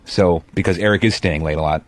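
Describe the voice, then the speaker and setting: A man's voice: sits low, fairly quick, dry recording. A man speaking as an executive producer mediating a workplace dispute, hesitant and hedging as he explains.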